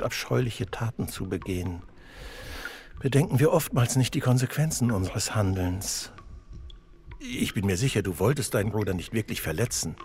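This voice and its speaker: An actor playing a stern, solemn judge in a radio play, speaking in a formal, measured, admonishing manner. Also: male voice, on the low side, a little mellow. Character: stern, solemn male judge in an imagined childhood trial.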